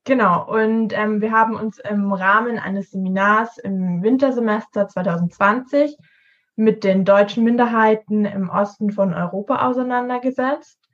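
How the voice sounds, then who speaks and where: A woman's voice: mid-range voice, normal speed. A woman in her early twenties co-hosting a student podcast with prepared, explanatory talk. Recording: dull lo-fi podcast audio.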